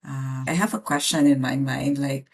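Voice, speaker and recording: A woman's voice: a bass voice, steady tone, normal speed, gravelly. A woman in her thirties from the Philippines, co-hosting a podcast in a reflective, casual chat full of fillers. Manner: reflective, many fillers and restarts. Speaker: podcast co-host.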